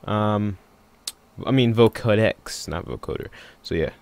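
Smooth, low-voiced casual man giving a tutorial. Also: measured pace, darkish tone, no echo. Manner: offhand, unscripted, thinking aloud. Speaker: music producer giving a software tutorial. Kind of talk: casual screen-recording tutorial.

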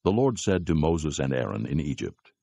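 A man's voice: extremely low pitch, big pitch swings, normal speed, gravelly, warm tone, breathy edge, no room sound. A man narrating a dramatized scripture reading in a scripted, storytelling delivery.

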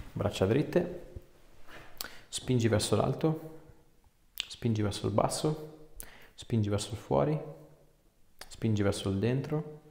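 Osteopath, man, gravelly fry, short informal instructions in a reverberant clinic room. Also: medium pitch, a little mellow. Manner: informal, reassuring. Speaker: osteopath treating a patient. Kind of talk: hands-on treatment session talk, giving short instructions and questions. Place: small clinic treatment room, indoors. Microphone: clip-on lapel mic. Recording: echoey room, audible background noise.

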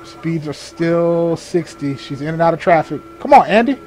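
A man with a fairly high voice plays a patrol deputy in a video game. He gives clipped radio-style updates and drops into casual muttered asides. Vehicle noise runs faintly behind him. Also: animated, normal speed, a little mellow. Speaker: gamer playing a sheriff's deputy in a police patrol role-play. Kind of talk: improvised police radio call-outs and casual gameplay commentary. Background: game vehicle and ambient sounds.

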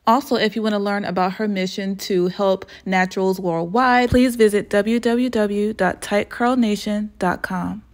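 A woman's voice: medium pitch, steady tone, normal speed, hardly any pauses. Upbeat young woman vlogger. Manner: upbeat, promotional. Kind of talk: vlog voice-over.

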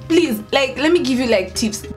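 A young woman with a Kenyan accent talks casually to her viewers. Her voice is fairly high and her delivery is emphatic, with words repeated for stress. Music plays clearly behind her. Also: lively intonation, moderate pace. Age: young adult. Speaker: vlogger, storyteller. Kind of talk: casual storytime chat to camera.

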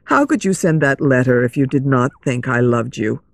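A woman's voice: extremely low pitch, animated, moderate pace, no room sound, voice pushed down. A woman playing an earnest, lovesick young nobleman, wounded and insistent, in an audiobook story. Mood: hurt, angry.

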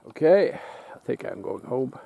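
A Norwegian man speaking English with a Norwegian accent, chatting in a relaxed, halting way while fishing alone by a lake, picked up by a camera on the shore. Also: mid-range voice, vocal fry, breathy edge. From Norway. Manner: relaxed, musing, halting with restarts. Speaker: outdoor vlogger. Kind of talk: casual self-filmed commentary. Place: lakeside outdoors, off camera.

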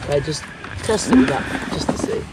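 Young Aussie guy, slightly high voice, excitable and repetitive, noisy outdoor action-cam sound. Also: a little fast. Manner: excitable, repeats himself, exclaims loudly. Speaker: fishing companion. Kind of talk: excited casual chat. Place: outdoors on a creek bank. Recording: outdoor camera audio with background noise.

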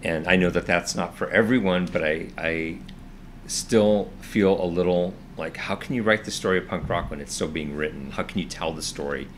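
A man's voice: sits low, normal speed, slight background noise. A man in his fifties co-hosting a casual vlog, earnest and hesitant, often restarting his sentences, seated on a sofa indoors. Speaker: vlog co-host. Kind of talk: casual chat. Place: indoors, living room with orange sofa.

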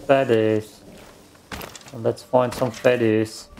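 A man's voice: medium pitch, flat delivery, slow, mellow, a little hiss or hum. A man in his thirties with a German accent, casually commenting on a game he is playing, muttering reactions to himself. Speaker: let's play gamer.

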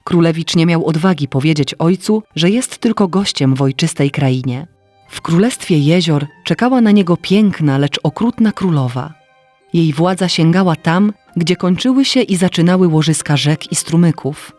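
A man's voice: a little high, animated, normal speed, darkish tone, no room sound, faint music behind. A man narrating a scripted fairy tale for children as a storyteller.

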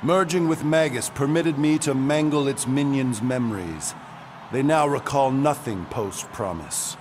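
A man's voice: medium pitch, a little slow, noisy background, lightly acted. A man voicing a pompous, wordy alien sorcerer in a video game, with a florid, alliterative way of talking. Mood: dignified. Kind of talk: video game character acting.

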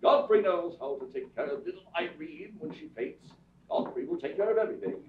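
A proper, easily flustered butler from an old radio comedy, acted by a man. He speaks formally and hesitantly with big pitch swings, in a thin, echoey recording from far off. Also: expressive, brisk, a little hiss or hum, close to an everyday voice. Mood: coaxing, playful. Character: polite, reserved butler, flustered by attention. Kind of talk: staged old-time radio comedy acting. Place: indoor theatre stage, distant camera. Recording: muffled, thin, echoey distant stage recording.

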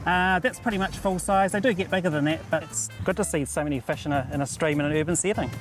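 A man's voice: on the high side, fast talker, faint music behind. New Zealand man explaining fieldwork. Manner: matter-of-fact, explanatory, with fillers. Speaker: conservation field worker. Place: outdoors in a shallow stream.

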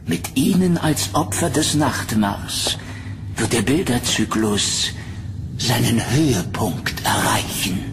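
An actor playing an eccentric, menacing painter in a German horror audio drama, theatrical, flattering and insistent. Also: male voice, medium pitch, takes it slowly, creaky, background noise. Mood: insistent, lustful. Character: eccentric, menacing male painter of macabre nightmare art.

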